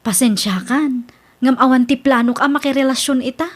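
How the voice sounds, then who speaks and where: A woman acting a polite, soft-hearted but firm young single mother in a radio drama. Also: high voice, fairly even intonation, normal speed. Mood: apologetic.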